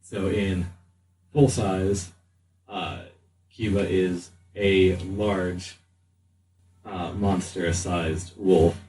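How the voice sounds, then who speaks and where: A man improvising narration as the game master of a tabletop game, often pausing mid-sentence. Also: sits low, fairly even intonation, darkish tone. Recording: noisy room, distant mic.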